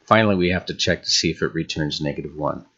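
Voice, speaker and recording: A man narrating an instructional screencast as a tutorial host, in a measured, explanatory way. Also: a bass voice, bright, forceful tone, muffled recording. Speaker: game development tutorial host.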